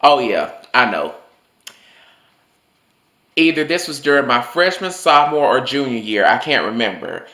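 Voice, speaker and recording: A man's voice: sing-song, moderate pace, pauses often, bright, forceful tone. Casual young male vlogger, talky and profane, mid-range voice that drifts up and down; roomy echo. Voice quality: voice swings higher and lower. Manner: chatty, loose, full of "like" and swearing. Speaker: vlogger telling personal stories. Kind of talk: casual storytelling monologue.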